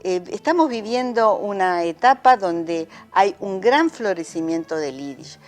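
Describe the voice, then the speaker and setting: A woman speaking in an interview, pausing often and hesitating. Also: mid-range voice, lively intonation, a little mellow, faint music behind. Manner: hesitant, with many fillers. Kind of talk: reflective interview talk.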